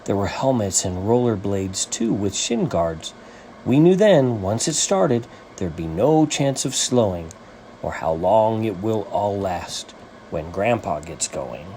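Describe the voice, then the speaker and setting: A man reading a rhyming children's story as its author, with an animated, sing-song rhythmic delivery. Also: fairly low pitch, takes it slowly, slight background noise.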